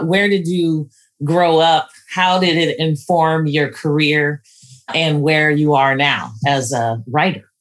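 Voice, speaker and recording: Woman podcast host, slightly low voice. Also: a bit bright. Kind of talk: podcast introduction and interview.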